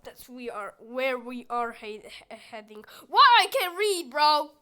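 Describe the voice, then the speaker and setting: A woman's voice: high voice, very animated, measured pace, fairly bright tone, clean tone. A young woman with a youthful voice, chatting goofily while she plays a game and reads its lines aloud. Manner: chatty and goofy, with squealing outbursts. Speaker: gameplay streamer. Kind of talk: casual gameplay commentary, reading game dialogue aloud.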